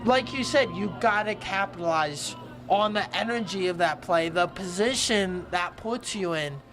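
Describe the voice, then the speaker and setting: A woman doing loud, joking play-by-play commentary for a livestream from the press box of an outdoor stadium. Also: medium pitch, measured pace, faint music behind. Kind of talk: live sports commentary.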